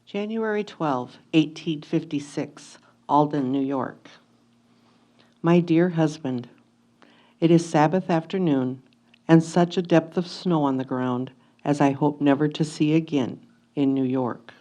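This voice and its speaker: Female actor as anxious, inquisitive pioneer wife, warm dark tone, deliberate letter reading for reader's theatre. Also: low-pitched. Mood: matter-of-fact. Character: anxious, curious 1850s wife writing to her absent husband.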